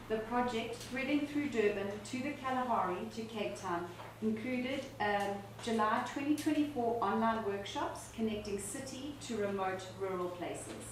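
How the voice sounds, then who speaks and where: A South African woman reads a review aloud in a measured, unhurried way. She is picked up from a distance in a very echoey room with faint ambient noise. Her pitch sits in an ordinary middle range. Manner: measured, unhurried reading with small restarts. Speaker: academic reading reviews at a book launch. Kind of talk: reading aloud to an audience. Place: book launch venue, echoey room.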